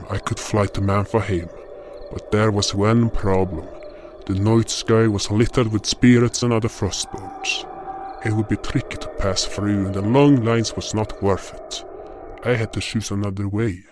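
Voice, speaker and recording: A man playing a solemn, dutiful guardian spirit, narrating his own fantasy tale in a measured first-person style. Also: fairly low pitch, steady tone, noisy background, natural voice. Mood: solemn, reflective. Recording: guitar music under the voice.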